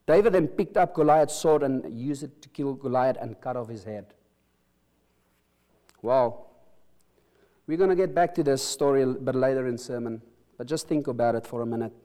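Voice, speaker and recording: A middle-aged man with an Afrikaans accent delivers a sermon in an earnest, halting way, stressing words and restarting phrases. His voice is dark and mellow at a mid pitch. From South Africa. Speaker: preacher. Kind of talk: sermon with opening prayer.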